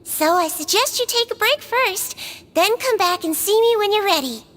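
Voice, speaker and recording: A woman voicing a small, gentle creature who works as a nurse, speaking softly with a sly, teasing kindness. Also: extremely high pitch, expressive, normal speed. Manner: soft, kindly, quietly teasing. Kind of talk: game voice acting. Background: music.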